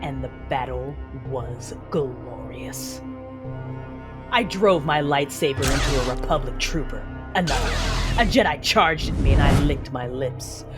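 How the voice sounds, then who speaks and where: A woman's voice: very deep voice, unhurried. A woman playing a cruel, battle-hungry Sith warrior who narrates her own fights with menace. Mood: gloating, menacing. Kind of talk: first-person audio drama narration. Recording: loud music under the voice.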